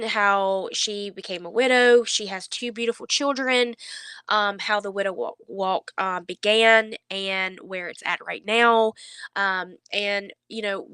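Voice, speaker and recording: A woman with a stuffy nose giving a heartfelt, reassuring faith talk to camera in an earnest, conversational way with halting phrasing. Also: medium pitch, pushed and bright, clear, resonant tone, no room sound. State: stuffy nose, under the weather. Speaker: faith vlogger.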